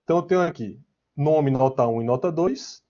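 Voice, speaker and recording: A man's voice: a little high, fairly quick, mellow. A Brazilian man giving an informal online programming lecture in a conversational way, often checking in with little question tags. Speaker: programming teacher. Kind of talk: informal online lecture with slides and live coding. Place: online class, screen share. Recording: dull, lo-fi online class audio.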